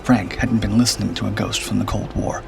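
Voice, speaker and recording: A man narrating a scripted first-person suspense story in a measured, grave storytelling manner. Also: on the low side, steady tone, creaky. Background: background music.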